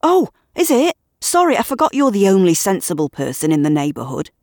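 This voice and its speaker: A woman's voice: on the low side, animated, moderate pace, darkish tone, slightly rough, no echo, clean studio sound. A woman playing a heated, sarcastic man. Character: agitated middle-aged man, argumentative and sarcastic.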